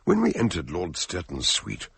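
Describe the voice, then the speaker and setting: Wordy gentleman investigator, radio drama, husky, very animated delivery, dignified, formal. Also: low voice, normal speed, exaggerated voice. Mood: wry recounting. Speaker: investigator and narrator. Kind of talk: radio drama acting with storytelling narration.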